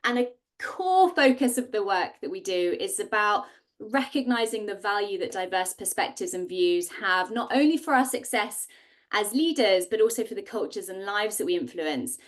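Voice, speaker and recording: A woman's voice: mid-range voice, lively intonation, moderate pace. A woman in her forties hosting and presenting a live online masterclass over a video call. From Singapore. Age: 40s. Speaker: host and co-founder presenting a masterclass.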